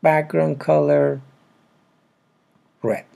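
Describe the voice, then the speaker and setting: A man with a non-native English accent narrates a casual step-by-step tutorial, with halting, broken phrasing. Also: on the high side, fairly even intonation, vocal fry, warm tone. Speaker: programming tutorial presenter. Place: indoors at a computer.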